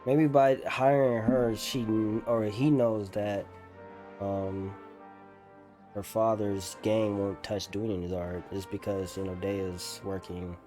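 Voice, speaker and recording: A man's voice: fairly low pitch, flat delivery, slow, dark tone. A young man doing casual, slangy let's play commentary, musing aloud as he goes. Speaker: gaming streamer. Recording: game music behind.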